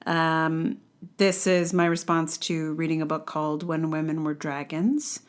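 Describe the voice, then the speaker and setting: A woman's voice: low-pitched, level tone, unhurried, clean tone. A woman hosting a podcast, reading her own book-review blog aloud in a wry, sardonic way with exaggerated emphasis. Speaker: podcast host.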